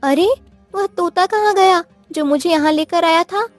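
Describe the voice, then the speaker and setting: A woman's voice: very high voice, unhurried, dry recording, soft background music, pitched above the performer's own voice. A woman voicing an eager, easily surprised young village wife in a cartoon story, full of exclamations and questions. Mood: determined. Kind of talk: cartoon moral story acting.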